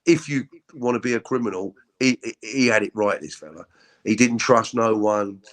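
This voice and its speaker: A middle-aged man with a deep voice, telling his story as an interview guest in a halting, candid way with many repeats. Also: a bit bright. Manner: halting, repeats words, frequent ums.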